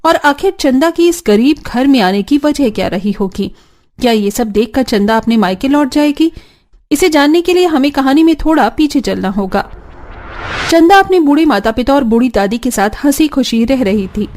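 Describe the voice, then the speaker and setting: A woman's voice: sits high, lively intonation, normal speed, darkish tone. A woman reading dramatic scripted narration for a cartoon family story.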